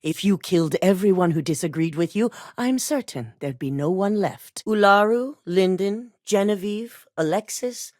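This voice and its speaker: An actress voicing a cool, calculating female corporate executive in a game, speaking in a composed, measured, authoritative way. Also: mid-range voice, expressive, a little mellow, no echo, studio quality, natural voice. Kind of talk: game voice acting.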